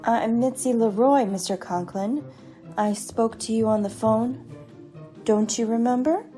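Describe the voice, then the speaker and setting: Woman as a slow, mellow, flirtatious showgirl. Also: on the high side, soft background music, close to an everyday voice. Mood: breezy, flirtatious. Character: breezy, flirtatious female balloon dancer. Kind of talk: 1950s radio comedy acting.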